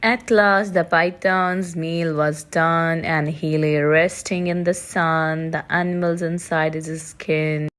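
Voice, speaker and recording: A woman reading aloud for preschool children in a deliberate way, pausing between short phrases. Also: sits low, steady tone, a bit bright, clear, resonant tone.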